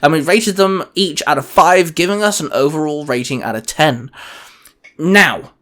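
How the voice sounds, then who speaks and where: A man's voice: on the high side, normal speed, a bit bright. A man hosting a casual gaming chat, talking in an enthusiastic, rambling way. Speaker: host.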